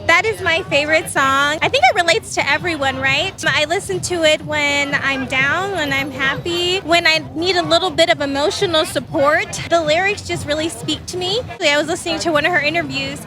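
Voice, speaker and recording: Chatty high-voiced woman. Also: normal speed, few pauses, over music. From Chicago. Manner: enthusiastic, chatty. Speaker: interviewee. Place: outdoors at a Pride event.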